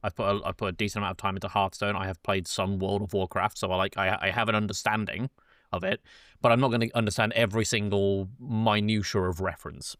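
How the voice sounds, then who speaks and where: A man's voice: fairly low pitch, fairly even intonation, quick pace, fairly bright tone, no room sound, clean studio sound. A man hosting a podcast, chatting loosely and casually about film, correcting himself and swearing offhand. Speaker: podcast host.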